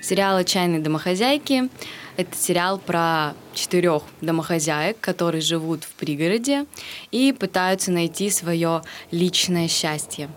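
Young woman hosting, slightly low voice. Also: moderate pace, a little hiss or hum. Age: early 20s. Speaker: podcast host. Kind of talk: scripted podcast intro, then casual discussion.

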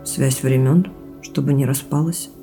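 Earnest reflective young woman in an audio drama, soft mellow tone, bit of fry, music under. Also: very deep voice, fairly even intonation, unhurried. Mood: reflective, wistful. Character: thoughtful young woman sharing family mystery with boyfriend. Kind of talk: naturalistic audio drama dialogue.

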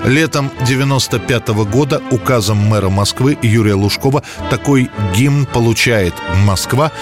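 A man's voice: medium pitch, normal speed, over music. A man hosting a programme, reading a scripted narration about history in a studio. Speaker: radio programme host.

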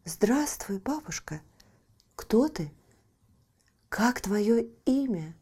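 A woman voicing a bright eager young princess. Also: fairly high pitch, lively intonation, drawn-out words, breathy edge, voice pushed up, full character voice. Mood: gentle. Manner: eager, exclaiming, pleading. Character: young cheerful carefree princess girl. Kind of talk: storybook reading.

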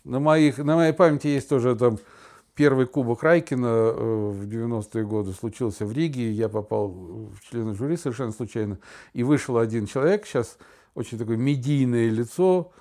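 A man's voice: mid-range voice, normal speed, darkish tone. Middle-aged man telling blunt anecdotes. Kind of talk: casual conversation, telling anecdotes.

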